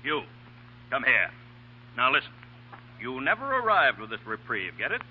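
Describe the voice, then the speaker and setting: An actor playing a bullying, scheming corrupt mayor with a gruff, impatient manner in 1940s radio style. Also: male voice, medium pitch, huge pitch swings, moderate pace, a little hiss or hum. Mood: scheming, coaxing. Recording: muffled 1940 radio recording.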